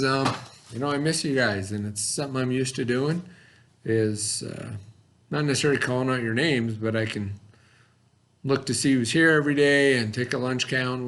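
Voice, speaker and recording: A man's voice: medium pitch, a bit bright, slight background noise. A male elementary school teacher talking informally to young pupils in a simple, rambling way and leading a read-aloud lesson.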